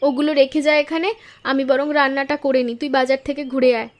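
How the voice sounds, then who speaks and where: A woman's voice: sits high, flat delivery, quick pace, natural voice. A woman voicing a patient, caring elder sister, a poor flower seller who speaks reassuringly and practically in a cartoon moral story.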